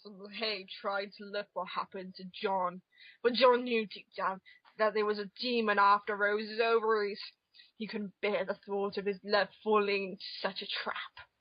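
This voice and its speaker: A woman reads comic fan fiction aloud as an amateur narrator. Her voice sits at a middle pitch. The recording is close and dry, with a muffled lo-fi sound. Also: steady tone, normal speed, no room sound.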